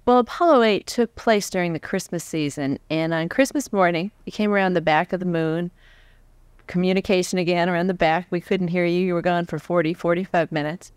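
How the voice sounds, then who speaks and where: An American woman in her forties interviewing a guest on stage in a chatty, animated, conversational way. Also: lowish voice, fairly quick, clean tone, dry recording. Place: on stage before a live audience. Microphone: large microphone on a stand, close.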